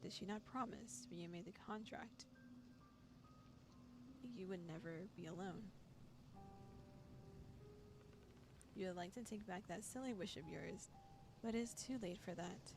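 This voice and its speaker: A woman reading as the theatrical narrator of a horror visual novel, deliberate and foreboding. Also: lowish voice, natural voice. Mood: ominous. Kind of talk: dramatic second-person storytelling. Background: music.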